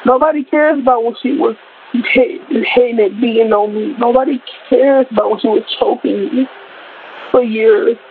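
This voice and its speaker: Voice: mid-range voice, normal speed, slight background noise. Defiant speaker on a tinny line. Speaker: testimony speaker. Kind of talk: emphatic personal testimony. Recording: tinny phone-like recording.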